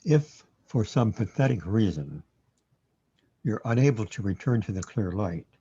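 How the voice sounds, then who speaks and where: A man playing a wry, scornful afterlife guide with a droll, deliberate delivery in a sci-fi radio drama, recorded lo-fi. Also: on the low side, very animated, warm tone, slightly rough, exaggerated voice. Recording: dull, lo-fi sound.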